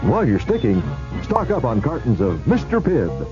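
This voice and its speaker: A male announcer with a mid-pitched, very lively voice reads an upbeat radio promotion. The old recording is dull, with music behind. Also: moderate pace. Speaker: commercial announcer.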